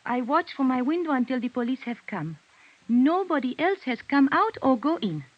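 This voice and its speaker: A woman's voice: high-pitched, animated, moderate pace, dry recording. An actress playing a timid, frightened young Frenchwoman in 1950s radio detective drama, hesitant and apologetic in French-accented broken English. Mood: anxious, recounting. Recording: dull vintage radio recording.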